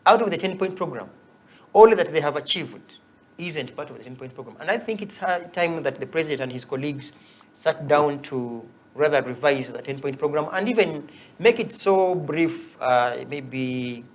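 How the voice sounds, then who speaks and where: A man's voice: medium pitch, moderate pace. A young man with a Ugandan accent, an activist guest on a talk show, who makes wry jokes as he speaks. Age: young adult. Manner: wry and joking, laughs at his own points.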